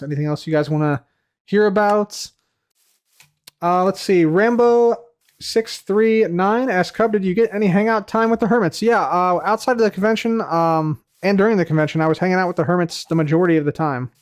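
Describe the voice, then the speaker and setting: Rambling male streamer, slightly high voice. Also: smooth. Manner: rambling, repeats phrases, many fillers; trails off quietly at the ends of phrases. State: voice a little tired from heavy use. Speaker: streamer answering chat. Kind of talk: casual live-stream chat.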